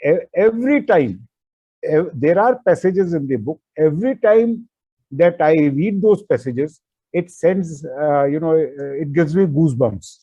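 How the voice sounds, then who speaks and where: A man's voice: fairly high pitch, lively intonation, a little slow, warm tone, breathy edge, dry recording, muffled recording. A man whose first language is not English, an author answering interview questions with many fillers and restarts.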